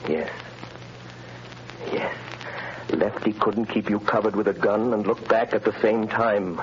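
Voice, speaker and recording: An actor playing a calm, reassuring gentleman detective in a 1930s radio drama, speaking in measured tones. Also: on the low side, natural voice. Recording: dull, faintly hissy 1930s radio transcription.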